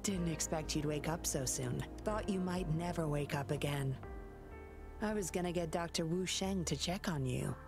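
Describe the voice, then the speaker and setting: A woman's voice: low voice, moderate pace, over music. An actress voicing a cool, dry-teasing young woman in a video game.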